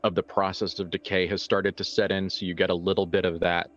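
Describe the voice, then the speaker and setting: A man improvising horror narration as a tabletop game master, halting and informal as he searches for words. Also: sits low, flat delivery, lo-fi sound.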